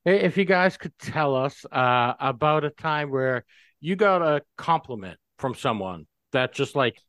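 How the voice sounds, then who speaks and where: A man's voice: medium pitch, lively intonation, unhurried, a bit bright, smooth, no room sound. A man co-hosting a podcast, posing questions to his friends in casual, profane, rambling chat.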